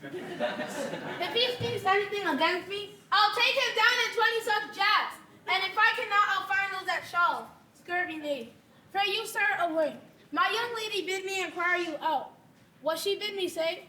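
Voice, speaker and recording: An old nurse played by a woman, fussy, bawdy and full of complaint, in a very high, bright voice. She scolds and teases in stagey Shakespearean fashion. The room echoes around her. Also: moderate pace. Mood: indignant, scolding. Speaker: stage actor in a Shakespeare play. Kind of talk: theatrical Shakespearean acting. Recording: echoey room with background noise.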